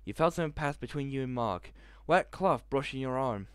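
A young man with a headset mic in a small bedroom, reading a visual novel aloud in a hushed voice and breaking into loud reactions. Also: medium pitch, expressive, brisk, clear, resonant tone, no room sound, studio quality. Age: young adult. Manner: reads narration in a hushed tone, reacts with loud outbursts. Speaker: gaming video host.

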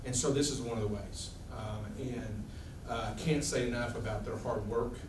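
A man's voice: mid-range voice, moderate pace. Man, informal presenter, distant and roomy sound. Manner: informal, asks the audience for confirmation. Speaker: school administrator presenting students. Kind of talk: public speech at a board meeting. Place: large meeting room. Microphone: far camera. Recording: echoey room, distant camera, background noise.

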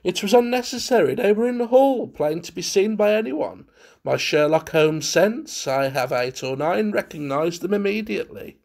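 A man's voice: high voice, expressive, moderate pace, creaky, darkish tone, pitched above the performer's own voice, full character voice. A man voicing a flamboyant, affected society wit in a storybook, with theatrical, gleeful, lofty prattle. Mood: lofty relish. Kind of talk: dramatised storybook reading.

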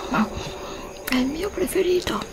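A woman's voice: very high-pitched, lively intonation, normal speed, background noise, voice pushed up. A middle-aged woman playing a hungry, wonderstruck traveller in an ASMR roleplay, savouring and exclaiming. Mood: wonderstruck, eager. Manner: savouring, exclaiming, lapsing into whispers. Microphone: close USB microphone.

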